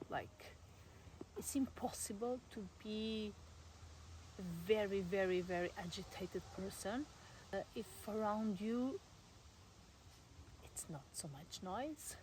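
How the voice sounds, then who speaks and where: A young woman with a Portuguese accent chats casually to the camera, her voice sitting at an ordinary middle pitch. She talks with lots of "uh" and "like" and small laughs, recorded outdoors with a faint hiss of background noise. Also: low-quality recording. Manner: chatty with frequent fillers and soft laughs. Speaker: vlogger. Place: garden at home in the countryside, outdoors.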